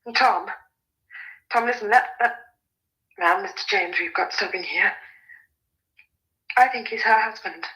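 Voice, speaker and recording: An actress playing a sensible, anxious young British farmer's daughter in a radio drama, brisk and earnest. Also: female voice, mid-range voice, sharp tone, poor audio. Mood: urgent, suspicious.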